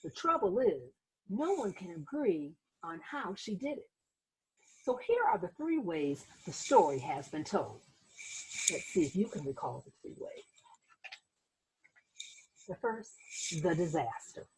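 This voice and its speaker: A woman reading a children's picture book aloud as host, in an expressive storytelling manner with little asides to young listeners. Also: on the low side, measured pace, pauses often, a little hiss or hum, rough recording.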